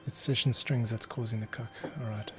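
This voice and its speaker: A man's voice: lowish voice, fairly even intonation, normal speed, sharp tone. A man giving casual work-along commentary as a composer, muttering to himself in fragments. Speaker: composer, host. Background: music, computer keyboard clicks.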